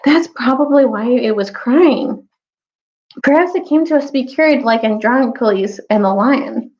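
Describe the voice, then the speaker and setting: A woman's voice: on the high side, unhurried, a little mellow, voice pushed up. A woman voicing a tender-hearted, eager young girl in a storybook, speaking in an earnest, coaxing way. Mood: pitying. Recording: dull, lo-fi audio.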